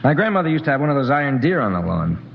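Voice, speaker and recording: Edgy young space officer, colloquial, restless, mid-pitched, muffled 1950s radio drama. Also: male voice, sing-song, very fast, gravelly, slight background noise. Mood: nostalgic. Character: edgy, homesick young male spaceship lieutenant.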